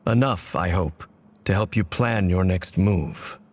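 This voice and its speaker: A man voicing a grave, enigmatic wandering warrior in a video game, speaking in a solemn, formal and deliberate way. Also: low-pitched, a little hiss or hum. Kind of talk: English video game voice acting.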